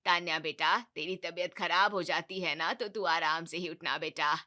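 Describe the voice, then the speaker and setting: A crafty old grandmother from a cartoon tale, low and husky-edged, speaking slowly and smugly, as a woman puts her on. The voice sits well below the storyteller's own and carries a bright, clear tone. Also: fairly even intonation. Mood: indulgent. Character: stern elderly grandmother-in-law, sly and teasing.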